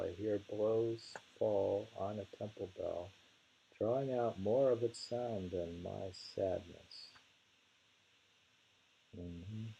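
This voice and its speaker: Slow, low, gravelly man reading poetry. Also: dark tone, low-quality recording. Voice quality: gravelly, husky. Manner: deliberate, lingers over and repeats phrases. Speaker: poetry reader and commentator.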